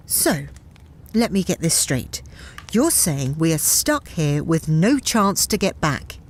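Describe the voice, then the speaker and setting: A woman's voice: low voice, very animated, takes it slowly, exaggerated voice. A woman playing a tough, exasperated captain in a sci-fi audio drama, sarcastic and emphatic. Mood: sarcastic, incredulous. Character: tough, sweary, exasperated spaceship captain heroine.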